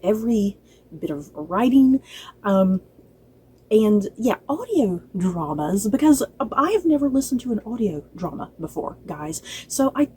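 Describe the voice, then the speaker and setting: Mellow-voiced woman chatting through a review. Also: medium pitch, animated, a little slow, husky, poor audio. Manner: chatty, rambling, circles back and repeats herself. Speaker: host of a book and film review channel. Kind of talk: casual unscripted review chat to viewers.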